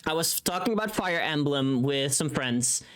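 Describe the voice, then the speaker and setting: Low-pitched woman, scattered livestream chatter. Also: lively intonation, moderate pace. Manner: chatty, scattered, self-deprecating, reacts expressively. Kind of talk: casual livestream chat.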